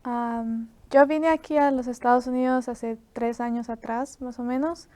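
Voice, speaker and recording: A nineteen-year-old woman with an Ecuadorian accent, speaking as an interview guest. Her higher voice is clean and smooth, but she keeps to a flat, narrow pitch. She talks softly and fills pauses with many hums. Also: no echo. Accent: Ecuadorian Spanish. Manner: soft-spoken, many "am" fillers. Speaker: student guest.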